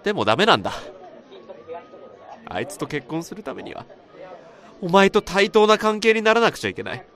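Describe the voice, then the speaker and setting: An actor in a Japanese radio drama playing a guilt-ridden young husband-to-be, speaking in halting, earnest, strained phrases. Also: male voice, high voice, big pitch swings, a bit bright, a faint crowd. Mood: anguished, confessing. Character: guilt-ridden young husband-to-be confessing to his best friend.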